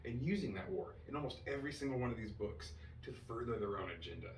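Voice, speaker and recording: A man giving an enthusiastic fan review as a solo host, excited and emphatic, drawing out key words. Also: fairly low pitch. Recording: roomy, distant mic with faint noise.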